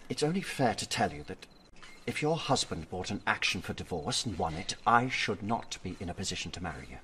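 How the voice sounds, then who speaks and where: An actor playing a smooth, self-serving British colonial lover in a radio drama, condescending and placating. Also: male voice, low voice, sing-song, a little fast, fairly bright tone, slight background noise, rough recording. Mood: dismissive, cool.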